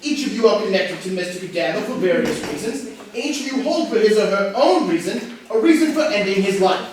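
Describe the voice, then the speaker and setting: A man's voice: sits high, animated, a little fast. A man playing a formal, unflappable butler who speaks precisely in a comedic staged reading. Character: formal, unflappable butler hosting a murder mystery. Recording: echoey room, distant mic, faint noise.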